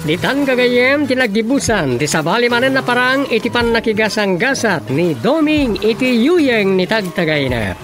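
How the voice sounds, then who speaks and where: High-pitched, animated Filipino male announcer. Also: moderate pace, few pauses. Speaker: radio drama announcer. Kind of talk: theatrical scripted radio introduction. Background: music.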